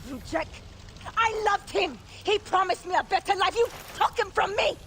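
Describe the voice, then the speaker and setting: An actress playing an anguished, bitter young mistress in a game, crying out desperately. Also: female voice, very high voice, animated, normal speed, fairly bright tone, slight background noise. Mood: anguished, hateful. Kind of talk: video game cutscene acting.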